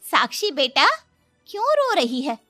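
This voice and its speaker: A woman's voice: high-pitched, big pitch swings, measured pace, bright, forceful tone, no room sound, voice pushed up, full character voice. A woman voicing an affectionate but bossy, protective middle-aged mother-in-law in a moral story. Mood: indignant, scolding. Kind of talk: moral story character dialogue. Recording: music behind the voice.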